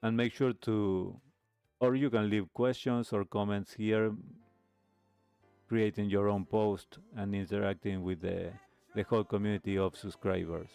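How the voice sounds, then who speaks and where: A man hosting a live stream radio show, speaking reflectively in a measured, halting way with pauses. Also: fairly low pitch, flat delivery, creaky, a little mellow, smooth, no echo. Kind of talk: reflective host talk, signing off a live stream. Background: music playing under the voice.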